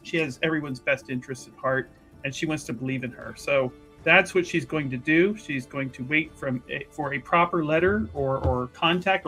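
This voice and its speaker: A man playing a tabletop roleplaying game, improvising his character's actions in a halting, wordy way. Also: a little high, sharp tone.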